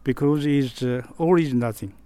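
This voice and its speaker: A man from South Korea answering interview questions in English with a Korean accent, halting and searching for words. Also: mid-range voice, mellow.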